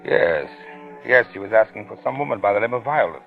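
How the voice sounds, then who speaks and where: A man's voice: on the low side, lively intonation, a little fast, vocal fry. An actor playing a talkative male witness, hesitant and knowing, in old-time radio suspense style. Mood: hesitant, sly. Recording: tinny old radio recording with music.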